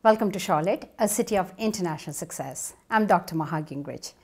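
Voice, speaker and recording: Middle-aged lady host, eager, lots of quick interjections, lapel mic in a living room. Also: lowish voice, animated, music in the background.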